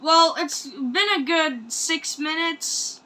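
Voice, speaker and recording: High, bright-voiced woman reacting excitedly. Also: slow pace. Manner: excitable, exclamatory, rambling. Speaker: reaction video host. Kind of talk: casual reaction commentary.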